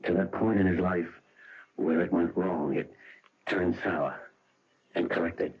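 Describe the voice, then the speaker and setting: An actor playing an anguished, insistent husband in an old-time radio drama. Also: male voice, low-pitched, steady tone, a little slow, husky, exaggerated voice. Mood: obsessed, insistent. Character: anguished husband insisting he has relived his past. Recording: dull old radio recording with music.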